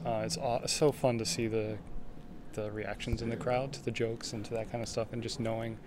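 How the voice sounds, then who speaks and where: A man's voice: mid-range voice, flat delivery, moderate pace, noisy background. A man, a sound editor, giving a casual interview. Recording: busy crowd chatter behind.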